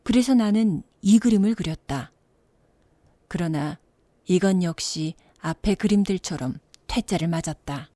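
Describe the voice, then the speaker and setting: Mellow, low-toned woman telling a bedtime story. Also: unhurried, no echo. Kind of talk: scripted bedtime story narration.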